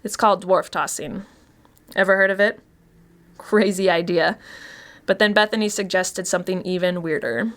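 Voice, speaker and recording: A wry woman past thirty played by an actress tells her own story in a conversational, confiding way. Her voice is mid-pitched, and she talks as if admitting something to a listener in an audio short story. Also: steady tone, moderate pace, pauses often, fairly bright tone, natural voice. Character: chatty, wry Los Angeles woman past thirty confessing. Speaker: actor reading a short story. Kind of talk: first-person dramatic monologue, conversational storytelling.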